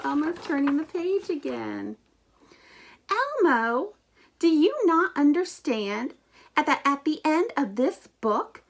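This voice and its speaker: A woman's voice: high-pitched, fairly bright tone, full character voice. A woman voicing an anxious, exasperated male furry monster from a children's storybook, theatrical and pleading. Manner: exasperated, emphatic, theatrical delivery.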